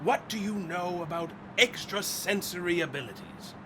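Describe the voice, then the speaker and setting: A driven inventor in a horror radio play. His high, bright voice makes grand, intense proclamations with a defiant edge, over some background noise. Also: normal speed. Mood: grandly intense. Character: obsessive, grandiose, defiant male scientist inventor. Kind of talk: theatrical radio drama acting.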